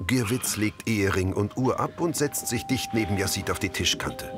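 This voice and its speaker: Low-voiced male audio description narrator. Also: animated, normal speed. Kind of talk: scripted narration.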